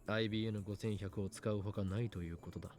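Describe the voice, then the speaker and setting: Man acting a rapid-fire pompous young man. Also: on the low side, fairly even intonation, darkish tone, natural voice. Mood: assertive explaining. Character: self-important, theatrical young man, visual novel lead. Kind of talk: Japanese visual novel voice acting. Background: game music.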